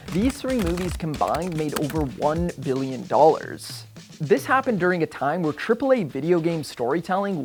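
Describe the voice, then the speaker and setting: Video essay host reading a script, mid pitch voice, bit of music behind.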